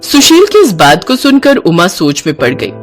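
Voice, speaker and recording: A woman's voice: mid-range voice, very animated, moderate pace, soft background music. A woman narrating a scripted cartoon story.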